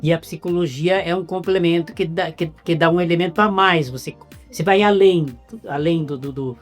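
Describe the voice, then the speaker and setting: A man's voice: on the high side, moderate pace, faint music behind. A man with a Brazilian Portuguese accent hosting a casual interview, often repeating words as he gets going. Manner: stammering repetitions. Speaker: interviewer host.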